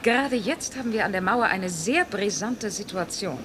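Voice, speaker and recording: Woman voicing a brisk, matter-of-fact official, traffic noise audible behind. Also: mid-range voice, expressive, lightly acted. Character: female Berlin conservation official, brisk bureaucrat. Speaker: government official.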